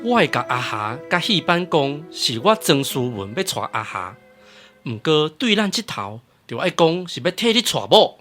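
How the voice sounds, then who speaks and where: An actor with a Taiwanese accent playing a wealthy, persuasive, scheming married gentleman in a radio drama. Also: on the high side, sing-song, normal speed, faint music behind.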